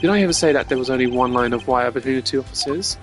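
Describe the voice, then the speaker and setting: A composed video game prosecutor acted out by a man lays out his case formally and deliberately. His voice is mid-pitched, with music playing underneath. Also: lightly acted. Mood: confident. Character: composed, cerebral male prosecutor arguing a case.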